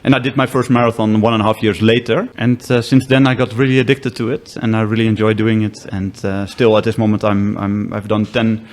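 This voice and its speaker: A man in his thirties from the Netherlands with a Dutch accent, a company head talking as a podcast guest in a relaxed, self-deprecating way, often restarting his sentences. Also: fairly low pitch, a little fast, few pauses. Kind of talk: casual podcast conversation.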